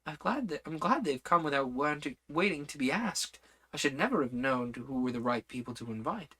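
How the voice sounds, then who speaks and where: A man's voice: on the high side, lively intonation, brisk, a bit bright, husky. A man voicing a polite, anxious young girl who speaks earnestly and hesitantly in a storybook reading. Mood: musing.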